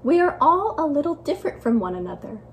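A woman reading aloud as a gentle, attentive, loving mother in a picture book, with a questioning manner. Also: high voice, big pitch swings, quick pace, a little hiss or hum, pitched above the performer's own voice. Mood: thoughtful, tender.

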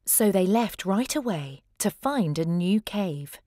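Female storybook narrator, slow and expressive, dramatic emphasis, dry recording. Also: mid-range voice, no room sound. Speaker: storybook reader. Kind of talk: expressive children's read-aloud narration of rhyming verse.

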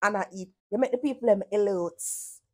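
Jamaican-accented woman, sarcastic reaction commentator, blunt and profane, steady middle pitch, casual patois delivery. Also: a little fast.

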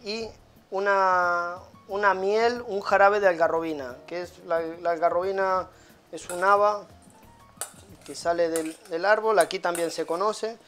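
Male chef, thirties, casual halting kitchen demo over light music. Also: high voice, steady tone, fairly bright tone. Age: 30s. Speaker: chef demonstrating a recipe. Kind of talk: casual cooking demonstration. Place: small tiled restaurant kitchen.

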